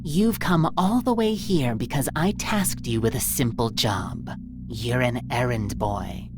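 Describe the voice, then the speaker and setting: A woman's voice: very deep voice, expressive, measured pace, over music, exaggerated voice. A woman voicing an imperious female crime boss in a visual novel, condescending and theatrical, with drawling, mocking delivery. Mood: scornful.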